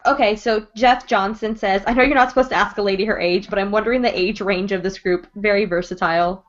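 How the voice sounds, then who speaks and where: A woman's voice: medium pitch, steady tone, quick pace. A woman in her twenties chatting casually on a panel, giggling often. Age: 20s. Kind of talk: casual chat. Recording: muffled lo-fi call audio.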